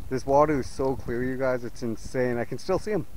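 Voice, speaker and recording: A man vlogging from a small boat on a lake, chatting casually to viewers and getting excited as he fishes. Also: mid-range voice, fairly quick, a little hiss or hum, rough recording. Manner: excitable, talks to viewers as "you guys". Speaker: fishing vlogger. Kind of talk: casual on-water fishing commentary.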